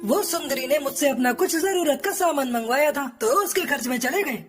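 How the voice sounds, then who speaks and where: Woman voicing a high-voiced, dramatic crow husband. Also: brisk, soft background music, natural voice. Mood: defensive. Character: evasive male crow husband, weary and defiant.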